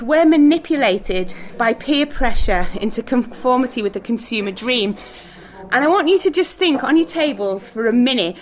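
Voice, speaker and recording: Woman, chatty group teacher, fairly high voice, laughs often, asks questions. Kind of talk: talk with group discussion. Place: hall with background noise.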